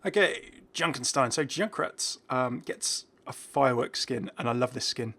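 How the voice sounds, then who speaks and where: A man with a medium-pitched voice hosts a gaming video. He talks casually and off the cuff, chatting through what is on screen. His delivery is a little hesitant as he reacts and thinks aloud. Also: animated, moderate pace, creaky, a bit bright. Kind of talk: casual unscripted game commentary.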